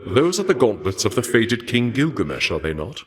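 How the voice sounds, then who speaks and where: A man voicing a courteous, regal god of war in a video game, speaking formally in archaic, measured phrases with a dark fascination for death. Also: mid-range voice, sing-song, natural voice. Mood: admiring, intrigued. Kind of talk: scripted video game character dialogue.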